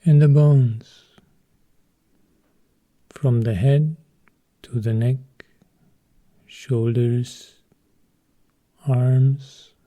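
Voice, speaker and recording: A man leading a guided meditation as a teacher, speaking calmly and in short, measured phrases with long pauses. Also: mid-range voice.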